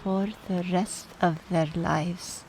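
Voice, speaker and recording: A woman's voice: on the low side, fairly even intonation, slow, a little mellow, a little hiss or hum, rough recording. A woman playing a fond, opinionated, wry older aunt in mourning, in radio play dialogue. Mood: wry, nostalgic.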